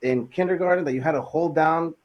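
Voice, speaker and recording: A man in his thirties from Los Angeles, a podcast guest telling his story in a relaxed, casual way with plenty of fillers. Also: a little high, brisk, dull audio. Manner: relaxed, laid-back, frequent fillers. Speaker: podcast guest, business owner.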